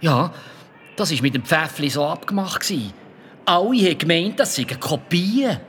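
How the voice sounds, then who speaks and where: A sheepish caretaker confesses in a children's radio play. The man's Swiss German voice is a bit high, with faint ambience behind it. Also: sing-song, normal speed, a bit bright, a little hiss or hum. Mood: sheepish, confessing. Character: sheepish male museum caretaker confessing to theft.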